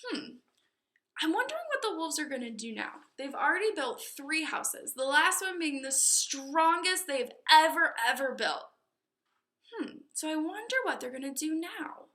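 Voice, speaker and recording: A woman reading a children's storybook aloud in an expressive, teacherly way, with explanatory asides. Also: high-pitched, moderate pace. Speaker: storybook reader. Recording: echoey room.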